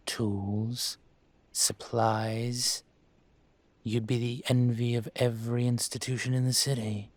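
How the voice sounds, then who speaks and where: A man playing a suave, menacing dragon mafia boss in an audio roleplay, measured and condescending with a teasing edge. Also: mid-range voice, dry recording. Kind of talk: scripted audio roleplay, intimate.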